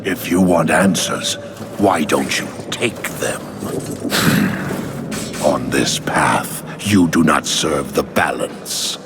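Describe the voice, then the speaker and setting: Bitter old lord of the dead for a game, super low, slow and menacing, imperious as hell. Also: male voice, expressive, vocal fry, full character voice. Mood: scornful. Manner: slow, haughty, commanding. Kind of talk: dramatic video game acting. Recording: loud background music.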